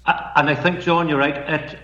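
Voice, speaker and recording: Middle-aged Northern Irish storyteller, soft-spoken and measured, dull roomy line. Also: male voice, fairly high pitch, flat delivery. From Northern Ireland. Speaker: guest poet and storyteller. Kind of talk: reflective interview talk. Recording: muffled, roomy remote line.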